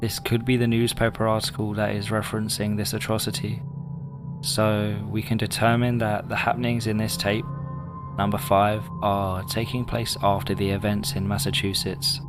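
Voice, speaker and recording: A man narrating a scripted horror analysis video in a measured way, with pauses for effect. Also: on the low side, level tone. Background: music.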